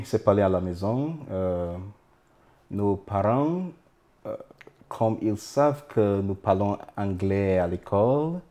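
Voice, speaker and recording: A man from Nigeria, Idoma by first language, answers as a guest priest in a studio. His voice is low and mellow, and he speaks slowly. He pauses often with "euh" as he builds each answer. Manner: halting, with frequent "euh" pauses. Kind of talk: interview answers.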